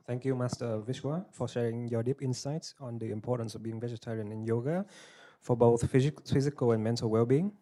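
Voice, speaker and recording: A man's voice: mid-range voice, fairly even intonation, brisk, warm tone. A man acting as master of ceremonies, thanking and introducing speakers in a formal, polite manner with some hesitation. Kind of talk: formal event hosting. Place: conference hall.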